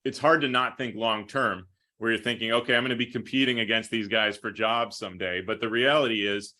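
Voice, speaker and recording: A man's voice: lowish voice, steady tone, a little fast, sharp tone. A man who works as a sports broadcaster, answering casually in an interview and thinking aloud in long, winding answers. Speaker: hockey play-by-play broadcaster being interviewed.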